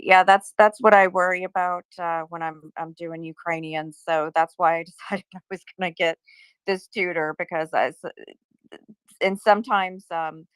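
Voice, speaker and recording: Chatty woman guest with a low, smooth voice; dry close sound on a call. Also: no room sound. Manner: chatty, laughs easily, trails off with fillers. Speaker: guest speaker. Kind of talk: casual chat on a live audio space.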